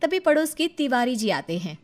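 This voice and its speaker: High voiced lady narrating a cartoon story, super lively, chatty to the listener. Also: moderate pace. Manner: conversational, addresses listeners directly.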